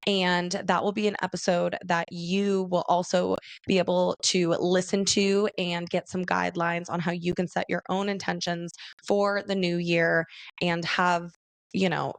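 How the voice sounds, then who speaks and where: Raspy arizona girl chatting on her podcast, gravelly, dry close mic. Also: lowish voice, fairly even intonation, measured pace, no room sound. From Arizona, USA. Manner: chatty, laughs often, addresses listeners as girl. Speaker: podcast host. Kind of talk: casual solo podcast chat.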